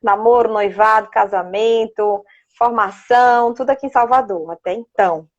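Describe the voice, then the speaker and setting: A woman in her thirties speaks in a fairly high voice with a Bahian Brazilian accent. She is chatty and informal, like a guest in a relaxed interview. The audio is slightly dull and lo-fi. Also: moderate pace. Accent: Bahian Brazilian Portuguese. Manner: chatty, prone to laughing, checking with "né". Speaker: interview guest, child psychologist. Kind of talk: casual conversational interview.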